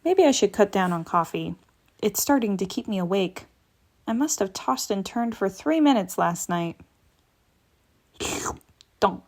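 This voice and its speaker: A woman reading a comic book aloud in a lively way, changing her voice for each character. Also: medium pitch, measured pace, long pauses. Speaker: read-aloud narrator.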